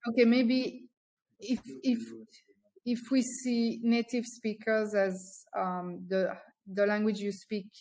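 A woman in her thirties talks through a webcam from a small room at home. Her pitch sits in the middle range, and she chats casually as an interview guest. She works out her ideas aloud with frequent ums and restarts. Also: darkish tone. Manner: thoughtful, many ums and restarts. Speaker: guest, founder. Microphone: laptop webcam on video call.

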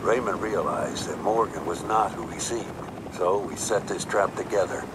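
An actor playing a weary, resolute older agency director in a video game cutscene. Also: male voice, sits low, normal speed, heavy background noise, close to an everyday voice. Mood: grave, resolute. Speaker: senior commander.

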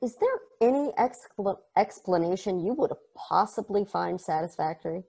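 A man reading an excitable, chatty space probe in a table read over a video call, rambling off into tangents. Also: high-pitched, normal speed, creaky, slightly rough, full character voice. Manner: enthusiastic, rambling, tangent-prone.

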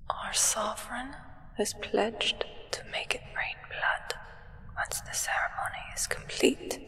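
A young woman playing a solemn, devoted vampire acolyte in a hushed, reverent ASMR roleplay whisper. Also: on the high side, vocal fry, long reverb tail, heavily acted, rough recording. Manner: hushed, slow, reverent, deliberate. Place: indoors, small draped set.

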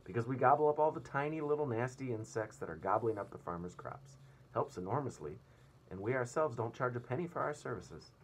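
A man's voice: medium pitch, animated, a little fast, a little hiss or hum, voice pushed up, lightly acted. A man voicing a proud, prim female ladybug from a storybook, genteel and self-satisfied.

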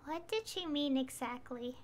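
A woman voicing a tiny, childlike fairy companion in a fantasy game, squeaky, curious and worried in her questioning. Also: measured pace, a little hiss or hum. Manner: squeaky, wide-eyed questioning.

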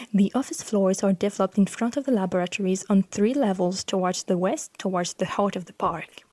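Mellow-voiced woman reading aloud. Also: mid-range voice, no echo. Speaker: podcast host reading an architect's text. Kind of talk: scripted reading.

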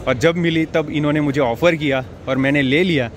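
Young male vlogger, excited and chatty, handheld camera, outdoors with steady background noise. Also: fairly high pitch, brisk. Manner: chatty, excited, addresses viewers as brother. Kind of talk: casual walking vlog. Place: outdoor cricket stadium. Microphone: handheld action camera. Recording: noisy outdoor crowd ambience.

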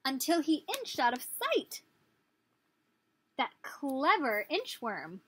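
A woman's voice: high-pitched, huge pitch swings, takes it slowly, fairly bright tone, rough recording. A woman in her thirties reading a picture book aloud as a teacher, expressively, putting questions to small children. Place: small living room, indoors.

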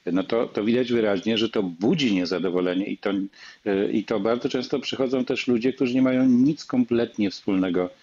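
Low raspy guy hosting a political talk show, kinda halting, repeats himself. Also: mellow. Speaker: host moderating a debate. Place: indoors on a video call. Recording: dull, muffled video-call audio.

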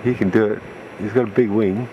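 A man on a clifftop, talking loudly and excitedly as he comments on paraglider pilots. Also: lowish voice, a little fast, muffled recording, noisy background. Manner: loud, excited commentary on flyers. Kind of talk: casual chat.

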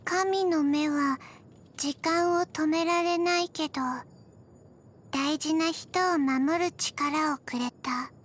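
A woman voicing a small undead girl in a video game, gentle and forgetful, speaking in halting, deadpan, soft phrases. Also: sits high. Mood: flat, drowsy. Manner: halting, deadpan, soft-spoken with frequent pauses. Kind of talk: scripted video game character voice lines.

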